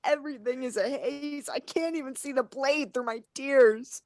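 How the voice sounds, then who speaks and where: An actress playing an anguished, tearful teenage sister in a radio play, speaking in halting, dramatic short phrases. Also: female voice, high-pitched, a little mellow, no room sound. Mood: sobbing, despairing. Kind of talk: radio play acting, intense monologue.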